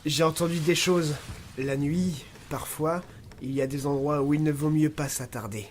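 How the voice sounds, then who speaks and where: An actor playing a superstitious, uneasy sailor in an audio drama, with a foreboding, insistent manner. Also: male voice, fairly high pitch, fairly even intonation, moderate pace, darkish tone, a little hiss or hum, natural voice. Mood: secretive.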